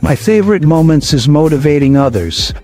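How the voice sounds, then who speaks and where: A man from Guyana, founder of a motivational platform, giving short, deliberate answers to interview questions. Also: a little high, lively intonation, a little mellow, faint music behind.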